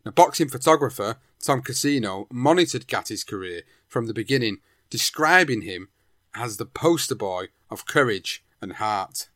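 A man narrating a boxer's life story in a measured storytelling manner. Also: mid-range voice, animated, a bit bright, no room sound, clean studio sound. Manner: measured storytelling, sentences opened with "now". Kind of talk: documentary narration.

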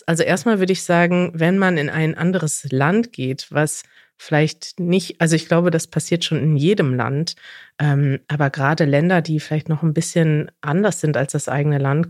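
A woman from Germany co-hosting a podcast, explaining things clearly and chatting in a lively way. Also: deep voice, no room sound, studio quality. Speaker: podcast co-host. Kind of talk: casual podcast chat, explaining.

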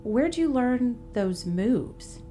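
Actress as a high-voiced, lively, breezy woman. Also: drawn-out words, soft background music. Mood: curious. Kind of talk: audio drama acting.

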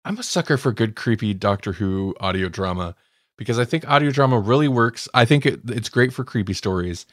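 A man's voice: fairly low pitch, animated, a little fast, dry recording, clean studio sound. A man hosting a podcast, chatting casually as he reviews stories. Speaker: podcast host.

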